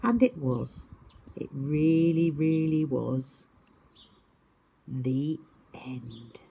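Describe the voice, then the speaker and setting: A woman's voice: rumbling low, very slow, vocal fry, dark tone, husky. A woman narrating a children's story expressively, as a teacher does at story time.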